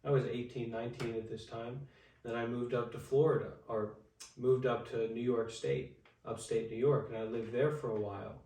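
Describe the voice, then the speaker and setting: Guy in his 20s talking slow with lots of ums, pretty monotone, sounds far from the mic. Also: medium pitch. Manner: halting, many fillers and restarts. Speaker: interview guest, Buddhist monk. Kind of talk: casual storytelling in an interview. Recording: echoey room, far from the mic.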